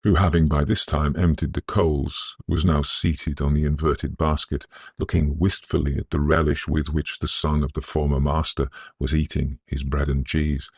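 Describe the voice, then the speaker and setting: A man narrating a Victorian ghost story in a measured, scholarly storytelling manner. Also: extremely low pitch, flat delivery, breathy edge, dry recording.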